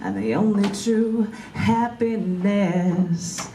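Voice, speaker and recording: A woman's voice: medium pitch, drawn-out words, warm tone, slight background noise. A woman poet at an open mic, reading her work and chatting casually. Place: large bookstore open mic, indoors. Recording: echoey room, distant microphone.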